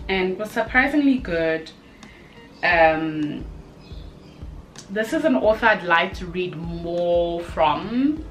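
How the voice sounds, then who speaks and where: A woman giving a casual, chatty review to camera as a video host, expressive and emphatic, with short exclamations and repeated phrases. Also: on the low side.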